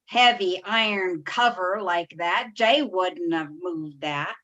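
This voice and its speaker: A woman's voice: fairly low pitch, slow, pushed and bright. An actress playing a folksy, scolding, impatient older mother-in-law in an old-time radio drama. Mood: impatient, puzzled.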